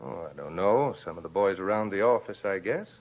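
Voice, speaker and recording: An actor playing an offhand, placating American husband in an old-time radio drama. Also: male voice, deep voice, animated, a little fast. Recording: thin, phone-like old radio recording.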